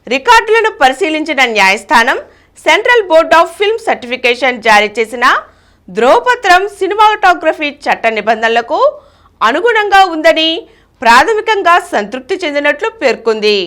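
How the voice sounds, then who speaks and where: A woman reading a news bulletin in a steady, formal newsreading cadence. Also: high voice, normal speed. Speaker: news reader.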